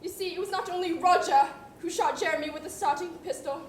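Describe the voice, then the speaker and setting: A woman's voice: very high-pitched, quick pace. A young woman on stage playing a melodramatic society lady in a murder farce, speaking in an arch, declamatory way. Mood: grand confession. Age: young adult. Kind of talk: comedic student stage acting. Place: theatre stage. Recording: echoey stage, audience, faint noise.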